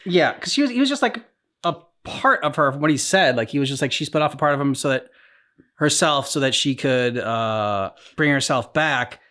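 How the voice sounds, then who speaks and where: A man's voice: mid-range voice, expressive, moderate pace, pushed and bright. A man co-hosting a reaction channel, talking casually in a tentative, rambling way.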